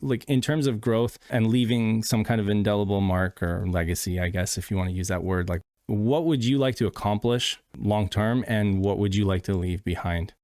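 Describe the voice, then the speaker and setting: A low-pitched man co-hosting a retro gaming podcast, recorded dry and close with no room sound. He is easygoing and chatty, filling in with hums and asking long, loose questions. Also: moderate pace, few pauses, a little mellow, dry recording. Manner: easygoing, frequent backchannel hums. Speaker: podcast co-host. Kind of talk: casual interview talk.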